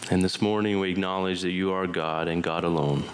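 A deep-voiced man leads a church in prayer, speaking in short, reverent phrases. A faint hum of room ambience sits behind him. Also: steady tone, fairly quick. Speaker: worship leader. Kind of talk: spoken prayer. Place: church sanctuary.

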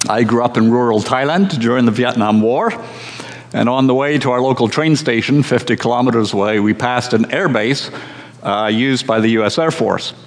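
A man preaching from the pulpit at a middling pitch, unhurried and conversational with touches of dry humor. His voice carries a long reverb from the church hall around him. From Scotland. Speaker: pastor. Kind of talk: sermon.

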